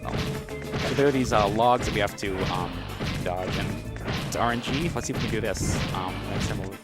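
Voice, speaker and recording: A man's voice: lowish voice, a little fast. A man giving casual, wry live commentary as he plays through a video game speedrun. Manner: wry, understated joking. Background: loud game music and sound effects.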